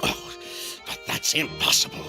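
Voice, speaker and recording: An actor playing a courteous, wry and excitable young man in a video game. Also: a little slow, over music, natural voice. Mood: agitated.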